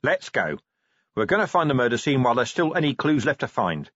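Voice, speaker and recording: Audiobook police commander, middle-aged man, played by the narrator: combative, blunt, sardonic, highly animated delivery. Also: a little fast, no echo, lo-fi sound. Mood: angry, forceful. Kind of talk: audiobook character acting.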